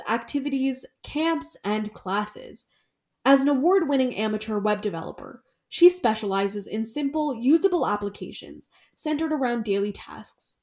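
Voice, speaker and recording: A woman's voice: fairly high pitch, darkish tone. A woman hosting an interview podcast, reading a guest introduction and asking friendly questions. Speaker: podcast host.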